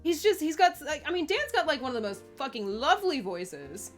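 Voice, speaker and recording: A woman's voice: high voice, big pitch swings, brisk, faint music behind. A woman chatting casually and informally on a stream, rambling and swearing freely. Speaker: co-host of a live stream chat show. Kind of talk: casual chat.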